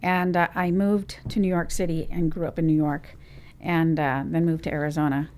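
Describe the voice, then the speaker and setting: A woman from Trinidad and Tobago, a business owner, telling her story in a lively, rambling way as an interview guest. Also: low-pitched, normal speed, a little hiss or hum. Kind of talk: conversational interview.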